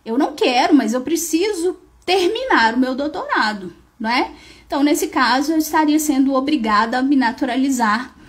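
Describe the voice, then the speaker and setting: A high-voiced Brazilian woman teaches law over a live online stream. She explains with lively energy and often poses questions before answering them herself. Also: moderate pace, slight background noise. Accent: Brazilian Portuguese. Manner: animated explaining, asks and answers her own questions. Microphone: phone or webcam, live video stream.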